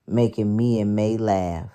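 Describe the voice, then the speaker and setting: Frail, bedridden woman, played by a female narrator: very low, dark murmur, slow and wistful, for an audio story. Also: heavily acted.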